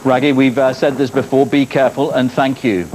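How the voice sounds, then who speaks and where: A man's voice: medium pitch, steady tone, a little fast. A man presenting broadcast news as a television anchor. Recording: faint hiss of old VHS tape.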